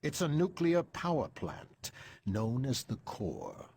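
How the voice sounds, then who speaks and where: An actor playing an earnest, authoritative hero team leader in a video game, briefing formally on a mission. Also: male voice, medium pitch, wildly swooping pitch, slow pace, creaky, darkish tone, a little hiss or hum, heavily acted.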